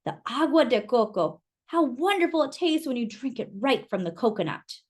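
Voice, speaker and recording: A woman's voice: a little high, big pitch swings, normal speed, darkish tone. A woman voicing a cheerful young man barber in a storybook, jovial. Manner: laughing, jovial.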